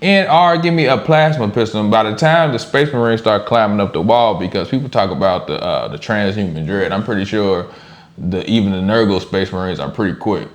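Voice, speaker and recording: A man with a very low voice gives casual commentary as a reaction co-host. He talks informally with slang, thinking aloud as he makes his points. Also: a little fast. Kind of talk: casual chat, off-the-cuff commentary.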